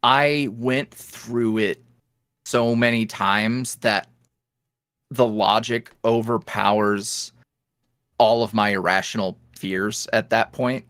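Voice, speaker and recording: A man guest on a podcast, an ASMR roleplay creator, talking candidly in a rambling, filler-heavy way that swings from lively bursts to low mutters. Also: takes it slowly, no room sound, studio quality. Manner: swings between animated highs and low mutters. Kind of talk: casual interview chat.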